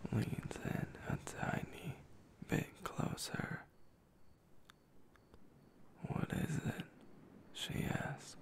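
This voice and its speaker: A man reading a romantic story aloud in a slow, intimate ASMR whisper. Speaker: ASMR creator.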